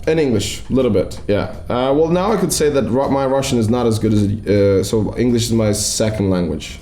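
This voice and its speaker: A young man from Latvia, chatting on a podcast in a curious, animated way. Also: medium pitch, a little fast, reverberant. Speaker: podcast co-host, actor. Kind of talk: casual chat.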